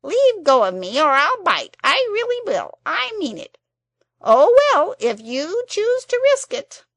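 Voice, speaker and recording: A cross, sulky little sand creature who grumbles about every wish and goes squeaky when upset, as a woman puts him on. The voice is pitched high and swoops widely, with a gravelly edge. Heard dry and close. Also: big pitch swings, measured pace, fairly bright tone, no echo, heavily acted. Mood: grumpy. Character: grumpy, self-pitying male sand fairy creature. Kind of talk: storybook character voice.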